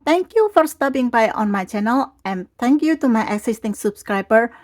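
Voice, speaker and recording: A woman's voice: on the high side, lively intonation, moderate pace, darkish tone. Chatty woman recovering from a cold. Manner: chatty, rambling with restarts. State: recovering from a cold, lingering cough. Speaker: craft channel host. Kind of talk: casual craft tutorial talk. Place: indoors at a craft table. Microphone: overhead camera on a tabletop.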